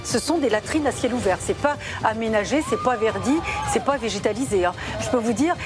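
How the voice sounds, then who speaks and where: A woman's voice: fairly high pitch, fairly quick. A woman talking emphatically and off the cuff, punctuating with tags, in a clip. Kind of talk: emphatic off-the-cuff political talk. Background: music.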